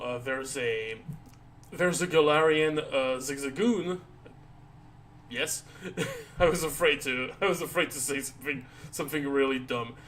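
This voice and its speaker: A tired man chatting casually on a live stream, rambling and halting with many restarts and fillers. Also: medium pitch, a little hiss or hum. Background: soft background music.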